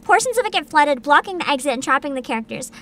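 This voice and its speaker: A woman with a high, bright voice hosts a casual livestream. Her pitch moves around a lot as she chats and reads aloud with bubbly enthusiasm. Soft music plays behind her. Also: expressive, quick pace. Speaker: virtual streamer host. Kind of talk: casual livestream chat, partly reading aloud.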